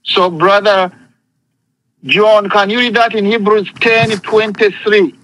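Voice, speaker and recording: A man's voice: sits high, steady tone, slow pace, fairly bright tone, low-quality recording. A man preaching an impassioned sermon, speaking emphatically with repetition and often calling for responses from listeners. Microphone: phone conference call.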